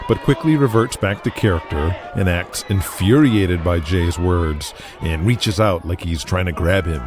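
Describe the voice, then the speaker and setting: A man's voice: sits low, a little slow, music in the background. A man narrating an audio drama from a script, describing each scene in the present tense.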